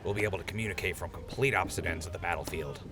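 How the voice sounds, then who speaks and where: An actor playing an easygoing male officer in an audio drama, talking in a relaxed, folksy way. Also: sits low, very fast, background noise, lightly acted.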